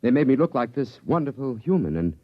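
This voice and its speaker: A man's voice: mid-range voice, sing-song, a little fast. A man playing a polite, eager alien impostor who passes as human, in a 1950s radio drama. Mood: eager, obliging. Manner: meek, obliging. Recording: dull vintage 1950s radio recording.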